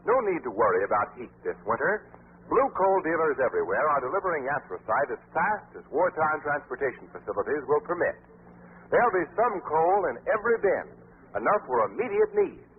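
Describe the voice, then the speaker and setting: A man's voice: on the high side, very animated, brisk. A man announcing in an emphatic, declamatory 1940s radio style, as for a mystery thriller series. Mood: earnest, reassuring. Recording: tinny, faintly noisy 1940s radio recording.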